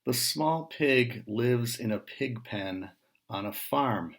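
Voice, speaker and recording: A man reading a picture book aloud to young listeners, pausing between short phrases and adding casual asides and questions. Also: mid-range voice, expressive, a bit bright.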